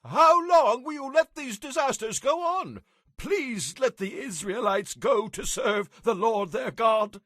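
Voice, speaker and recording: A man's voice: extremely high pitch, lively intonation, slow pace, a bit bright, no echo, clean studio sound, full character voice. An actor playing a desperate, pleading male court official in an audio Bible drama.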